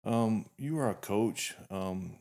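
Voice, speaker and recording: A man in his fifties hosting a casual podcast interview, talking in a relaxed, rambling way with frequent restarts. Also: fairly low pitch, slow. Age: 50s. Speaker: podcast host.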